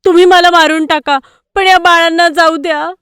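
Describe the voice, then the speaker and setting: High-pitched anxious mother goat, voiced by a woman. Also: steady tone, normal speed, a bit bright, dry recording, clean studio sound. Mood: pleading. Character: anxious, self-sacrificing motherly female goat. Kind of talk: animated story character voice acting.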